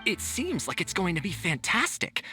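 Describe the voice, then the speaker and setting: A friendly, unsure young man in a bright voice. The pitch swings widely as he hesitates and trails off, game-dialogue style, with faint music. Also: wildly swooping pitch, normal speed, full character voice. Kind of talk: video game voice acting.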